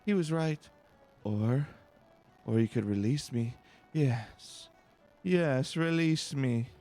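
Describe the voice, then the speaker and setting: A man's voice: mid-range voice, takes it slowly, dark tone, faint music behind. A man voicing a bewitched, desperate prisoner in a video game, pleading obsessively. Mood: desperate, entranced.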